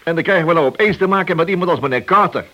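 A man's voice: on the high side, rapid-fire, sharp tone, lo-fi sound. A man acting in a radio play as a cunning, sardonic, mock-polite police detective who bends the rules. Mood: sardonic, needling. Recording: hissy old radio recording.

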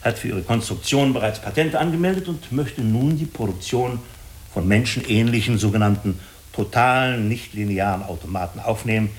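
A man playing a diplomatic, persuasive agency official in a German radio drama, speaking formally and deliberately with small hesitant pauses. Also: medium pitch, lightly acted. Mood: explanatory, diplomatic. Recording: clearly hissy radio recording.

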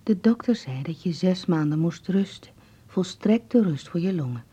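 A woman acting a gentle, worried mother in a radio play, speaking softly and soothingly in a husky, breathy voice. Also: lowish voice, expressive, normal speed. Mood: soothing, reassuring. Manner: soft, soothing, hesitant. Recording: muffled old radio play recording.

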